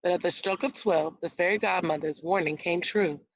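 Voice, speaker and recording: A woman's voice: on the low side, normal speed, gravelly, poor audio. A woman teacher reading a storybook aloud to young children, explaining words and asking guiding questions as she goes. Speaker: elementary school teacher.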